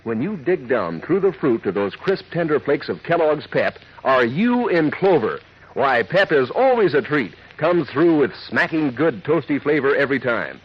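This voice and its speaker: Animated male vintage radio pitchman. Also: normal speed, slightly rough. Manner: upbeat, folksy sales patter. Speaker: commercial announcer. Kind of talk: 1940s radio cereal advertisement. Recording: muffled old radio recording.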